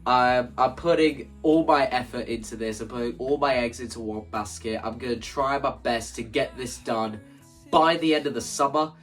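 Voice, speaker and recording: A man's voice: medium pitch, normal speed, fairly bright tone, faint music behind. A man talking casually and enthusiastically through his own creative ideas in an explanatory vlog, emphatic and often repeating phrases for stress. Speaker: video creator presenting own stage play.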